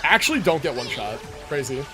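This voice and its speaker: A man's voice: medium pitch, sing-song, a little fast, music in the background. A man livestreaming a game, commenting casually and answering chat, slangy and sweary as he thinks aloud.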